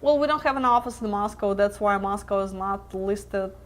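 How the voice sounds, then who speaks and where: A woman in mid-pitch hosts a live question-and-answer webcast, chatting freely and laughing easily between answers. Her voice is wearing thin from a long stretch of talking. She speaks loosely, with plenty of fillers and restarts. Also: normal speed. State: losing her voice.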